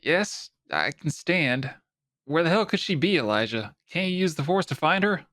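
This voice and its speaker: An actor playing a shaken, injured doctor in an audio drama, with anxious, urgent, demanding questions. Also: male voice, on the high side, animated, normal speed, sharp tone. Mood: alarmed, confused.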